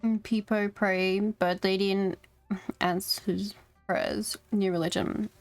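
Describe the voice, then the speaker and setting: A woman chatting casually on a gaming livestream, making relaxed, rambling asides. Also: medium pitch, takes it slowly, no echo, soft background music. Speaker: live game streamer.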